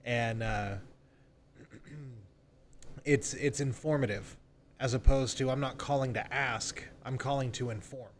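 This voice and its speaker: A man's voice: medium pitch, steady tone, slow pace, pauses often. Man chatting informally, noisy background. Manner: informal, role-plays example phone calls. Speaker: real estate agent, podcast guest. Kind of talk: conversational podcast interview, explaining sales scripts.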